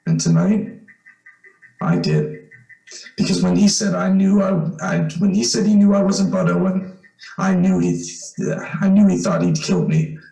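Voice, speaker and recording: A man's voice: high-pitched, wildly swooping pitch, normal speed, creaky, long pauses, dark tone, exaggerated voice. A man playing an earnest, hesitant young man in an old-time radio suspense play, with boyish exclamations. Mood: earnest, confessing. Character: earnest boyish young man who trapped his would-be killer. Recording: roomy, echoing amateur radio play.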